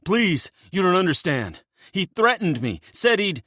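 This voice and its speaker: A man's voice: sits high, sing-song, unhurried, sharp tone, voice pushed up, heavily acted. A man doing a whimpering, panicked traitor. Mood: pleading. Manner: pleading, panicked. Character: cornered male traitor, whimpering and desperate.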